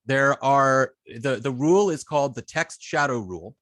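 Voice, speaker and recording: A man with a mid-pitched voice teaches web development through a live demonstration. He speaks informally and haltingly, thinking aloud between steps. The sound is dry, with no room echo. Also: fairly bright tone. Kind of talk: live coding demonstration, explaining step by step.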